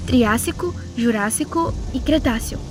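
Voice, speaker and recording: A woman's voice: fairly high pitch, a little slow, lightly acted. A woman with a Brazilian accent playing a serious, analytical survivor in an audio drama, matter-of-fact and explanatory. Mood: puzzled, explaining. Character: serious, analytical female survivor who knows dinosaurs. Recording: faint music behind the voice.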